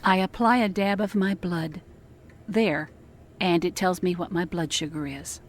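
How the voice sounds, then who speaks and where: A woman's voice: on the low side, steady tone, measured pace. A woman playing a practical, hospitable farmer's wife in an audio drama, polite and plain-spoken. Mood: hospitable, matter-of-fact.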